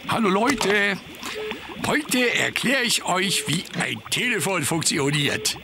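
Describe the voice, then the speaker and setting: A man voicing a dim-witted, good-natured puppet who explains things slowly and simply for a children's show. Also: sits high, very animated, vocal fry, noisy background, heavily acted. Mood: cheerfully muddled. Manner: slow, simple-minded, chuckling delivery.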